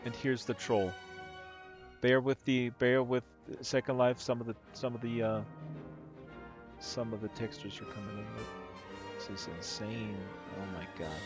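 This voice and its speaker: A man with a hoarse voice giving casual, excitable live-stream commentary, rambling and gushing with amazement. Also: mid-range voice, measured pace, pauses often. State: hoarse from talking all day. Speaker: live streamer, virtual world tour guide. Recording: dull lo-fi stream audio with music underneath. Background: music playing.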